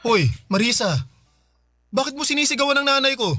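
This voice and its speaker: A man's voice: extremely high pitch, expressive, brisk, bright, forceful tone, full character voice. A man playing an indignant, protective young Filipino son in a radio drama. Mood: angry, accusing. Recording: music bed under the voice.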